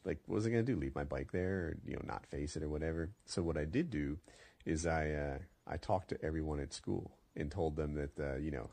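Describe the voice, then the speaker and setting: A man's voice: deep voice, a little mellow, slightly rough, no echo. A man hosting a podcast, chatting casually and telling rambling, conversational stories. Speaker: podcast host. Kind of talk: casual chat and storytelling.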